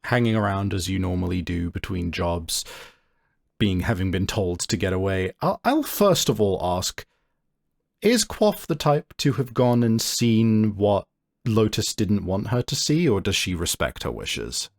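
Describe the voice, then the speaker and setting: A man narrating a tabletop game as game master, improvising and thinking aloud in a halting way. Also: fairly low pitch, expressive, gravelly.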